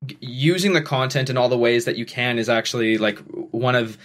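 Fast-talking young man, rambling podcast host. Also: medium pitch, steady tone, fairly bright tone. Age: 20s. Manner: quick, rambling, many restarts. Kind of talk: casual chat. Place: bedroom at home. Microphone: studio mic on boom arm, headphones.

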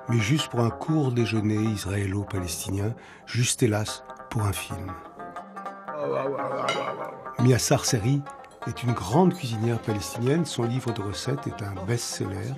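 An older man hosting and narrating a documentary in a grave, measured way. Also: medium pitch, gravelly. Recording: music under the narration.

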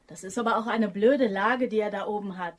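A woman's voice: medium pitch, a little fast, natural voice. An actress playing a hesitant, fond, easily alarmed female bookkeeper in a naturalistic German crime radio drama. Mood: defensive. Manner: naturalistic, questioning, often dropping to a hushed voice.

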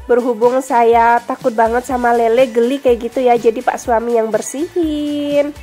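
A woman's voice: a little high, steady tone, normal speed, mellow, faint music behind. A young woman with a cold and a stuffy nose, chatting to viewers in a friendly voice-over for her home vlog. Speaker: vlogger. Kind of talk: casual voice-over narration.

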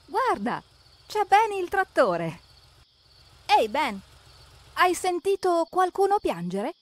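A woman narrating a preschool cartoon in an expressive, exclamatory way, speaking to the characters. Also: very high voice, takes it slowly. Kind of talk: lively scripted narration for preschoolers, talking to the characters. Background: background music.

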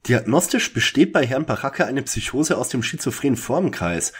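Mann spielt lebhaften, pedantischen Jungdetektiv. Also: male voice, mid-range voice, expressive, moderate pace, husky. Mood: matter-of-fact. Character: bookish, fussy young male detective. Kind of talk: parody detective audio drama.

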